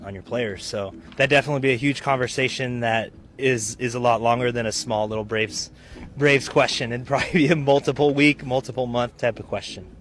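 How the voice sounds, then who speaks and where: A man's voice: mid-range voice, a bit bright, a little hiss or hum. An American man, a ballplayer answering casually in an interview, thinking aloud and repeating words. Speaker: professional baseball player being interviewed.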